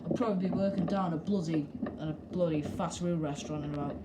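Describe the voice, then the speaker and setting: A woman's voice: fairly low pitch, steady tone, fast, very noisy. A young woman, rambling and joking casually as a vlogger while filming fireworks at home on a handheld camera. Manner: rambling, self-deprecating, mild swearing. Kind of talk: casual vlog commentary.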